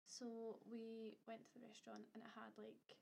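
A woman's voice: fairly high pitch, monotone, normal speed, gravelly, a little mellow. A young woman co-hosting a podcast in casual, blunt chat, swearing freely and laughing often. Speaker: podcast co-host.

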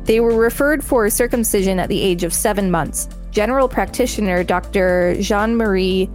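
A woman's voice: medium pitch, normal speed, darkish tone, soft background music. A woman podcast co-host reading an encyclopedia article aloud and adding commentary.

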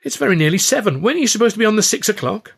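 A male audiobook reader acts out an agitated, fretful male friend. The voice jumps high and loud, urgent and exclaiming, with a husky edge. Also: big pitch swings, very fast, full character voice. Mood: fretful, urging.

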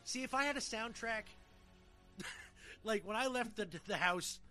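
A man's voice: sits high, a little slow, sharp tone, faint music behind. A man hosting a podcast in casual, rambling banter, joking and swearing freely. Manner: jokey, profane, rambling. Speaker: podcast host.

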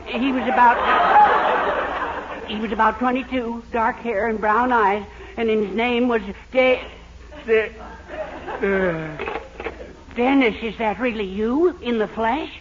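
An actor playing a bumbling, henpecked middle-aged husband who stammers fussily in a 1940s radio comedy. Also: male voice, very high-pitched, slow pace, pauses often, crowd noise. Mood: flustered. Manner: stammering, fussy. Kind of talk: 1940s radio sitcom acting. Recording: noisy, muffled 1940s radio recording with audience.

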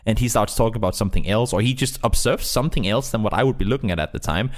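A man who is not a native English speaker, talking casually and in a rambling, animated way as a guest caster in an interview. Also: fairly low pitch, racing. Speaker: esports caster being interviewed.

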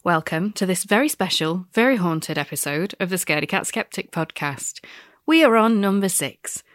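Woman, stuffy nose, wry self-mocking podcast host, lowish voice. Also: expressive, normal speed, no echo, studio quality. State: stuffy nose after illness. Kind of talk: scripted podcast monologue.

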